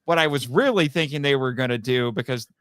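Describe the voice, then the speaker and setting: A man's voice: medium pitch, brisk, fairly bright tone, clean tone, no echo, studio quality. A man talking casually as a guest on a fan podcast, telling stories and acting out quoted remarks.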